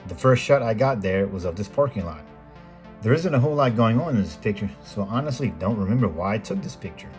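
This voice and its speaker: A middle-aged American man reading a scripted voice-over in a measured, plain explanatory way, as the host of a photography video. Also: fairly low pitch, lively intonation. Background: background music.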